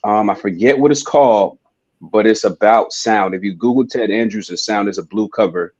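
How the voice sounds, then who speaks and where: A man in a casual spiritual discussion, talking calmly and measured with frequent pauses. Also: lowish voice, dry recording, highs cut off. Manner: calm, measured, pausing with ums.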